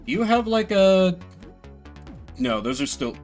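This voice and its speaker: A man's voice: sits high, very animated, unhurried, darkish tone, soft background music. A man giving casual, rambling commentary as he streams a video game, with playful mock-grandiose asides. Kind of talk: casual gameplay commentary, voicing game text.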